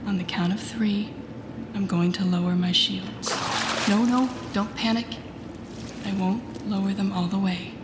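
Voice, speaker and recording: Woman acting a guarded intense villainess in an audio roleplay, slow n deliberate, breathy, echoey with music under it. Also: medium pitch, steady tone, background noise, close to an everyday voice. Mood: soft, coaxing. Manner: slow, deliberate, often dropping into breathy near-whispers. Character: female telepathic villain, guarded, intense, secretly tender mentor. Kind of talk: intimate audio roleplay acting addressed to the listener. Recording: roomy echo with music behind.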